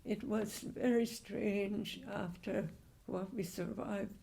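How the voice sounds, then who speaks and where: An elderly woman in her nineties with a heavily gravelly, creaky voice. She speaks very slowly, pausing and restarting as she answers questions from the audience. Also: medium pitch, steady tone, warm tone. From Hungary. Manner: slow, halting, with frequent restarts. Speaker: Holocaust survivor speaker.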